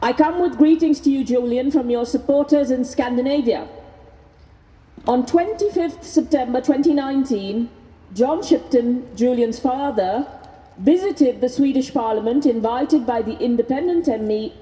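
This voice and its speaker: Woman reading out a speech at an outdoor vigil, softer mellow tone, measured, big echo on the mic. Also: sits high, muffled recording. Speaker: speaker reading out another person's speech at a vigil. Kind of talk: public speech read aloud at a rally. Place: outdoors at a vigil by prison gates. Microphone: handheld microphone. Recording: noisy outdoor PA with long echo.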